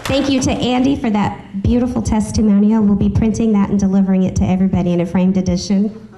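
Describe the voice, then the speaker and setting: A woman's voice: medium pitch, fairly even intonation, quick pace, warm tone, slight background noise, poor audio. A woman chatting to a group as she makes informal announcements as host of a business meeting. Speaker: chamber of commerce host.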